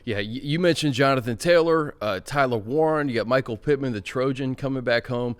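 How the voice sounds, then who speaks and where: A man's voice: medium pitch, fairly quick, clear, resonant tone. A man hosting a casual sports talk show, chatting conversationally and steering the talk to the next segment. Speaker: show host.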